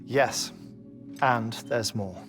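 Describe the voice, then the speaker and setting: A man acting as an erudite, eccentric scientist in a game cutscene, explaining theories deliberately with thoughtful pauses. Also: medium pitch, over music. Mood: grave, intrigued.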